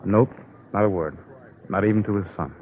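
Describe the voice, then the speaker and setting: An actor playing a plain, matter-of-fact police detective in a 1950s radio drama, brief and businesslike. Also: male voice, lowish voice, brisk, close to an everyday voice. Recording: muffled, noisy old radio recording.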